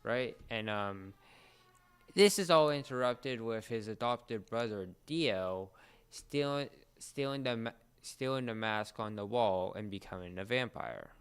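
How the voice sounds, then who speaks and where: A young man hosts a casual podcast in a notably clean, resonant voice pitched a little low. He speaks haltingly, doubling back on words as he explains things. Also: clear, resonant tone. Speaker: podcast host. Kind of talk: casual podcast talk introducing a series.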